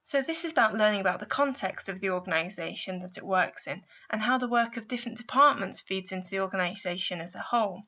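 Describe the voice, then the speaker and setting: A woman delivers scripted instructional narration in a bright, forward voice at a medium pitch. She explains things methodically and addresses the listener directly. The recording sounds somewhat dull and lo-fi. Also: fast, hardly any pauses. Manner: clear, explanatory, addressing the listener with guiding questions. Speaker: university placement adviser narrating a guidance video.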